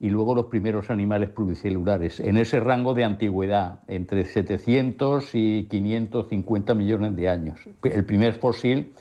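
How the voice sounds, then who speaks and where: A man's voice: lowish voice, mellow, highs cut off. A man giving an informal explanation of science news as a panelist, tentative and checking in with his listeners.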